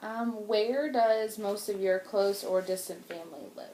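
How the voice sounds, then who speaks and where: A woman's voice: medium pitch, unhurried, mellow, a little hiss or hum. Woman asking interview questions in an echoey room. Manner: brief prompts and follow-up questions.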